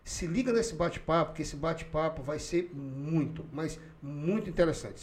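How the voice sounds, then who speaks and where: A man hosts a relaxed podcast chat, his voice sitting a little above the usual male range. He talks in a loose, repetitive way and often echoes what his guest has just said. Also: a little high. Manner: chatty, repeats and echoes the guest's answers. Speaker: podcast host. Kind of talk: casual podcast interview.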